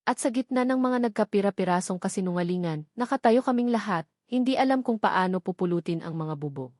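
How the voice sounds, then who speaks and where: A woman's voice: mid-range voice, animated, brisk, a little mellow, no echo. Lady narrator doing dramatic romance storytelling, really dry recording.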